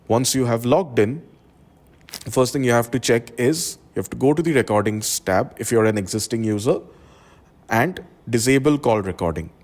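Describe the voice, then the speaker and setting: A male presenter narrates an instructional software tutorial. His voice sits at a medium pitch. He explains the process one step at a time, as a guide to the viewer. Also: moderate pace.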